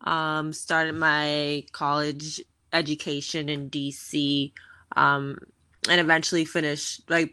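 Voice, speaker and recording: A woman's voice: sits low, pushed and bright, no room sound. A woman in her thirties from the Bahamas, chatting as an interview guest in an upbeat, chatty way with frequent fillers. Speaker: guest, fashion tech founder. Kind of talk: casual interview conversation.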